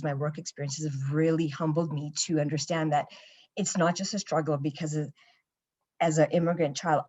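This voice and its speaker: A woman's voice: low voice, darkish tone, highs cut off. A young Canadian woman with a Canadian accent, speaking as a panelist and reflecting earnestly on her own experiences, with frequent "you know" fillers. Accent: Canadian English.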